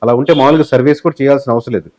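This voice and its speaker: Male Telugu interviewee, analyst type. Rapid-fire, explanatory delivery, medium pitch, English phrases mixed into his answers. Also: a little mellow. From Telugu-speaking southern India. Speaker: guest, election survey company head.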